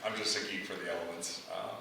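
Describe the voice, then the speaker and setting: Low, bright male voice, distant and echoey. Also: fairly even intonation, fast talker. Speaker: playwright at a feedback session. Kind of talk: informal discussion. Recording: echoey room from far off, faint noise.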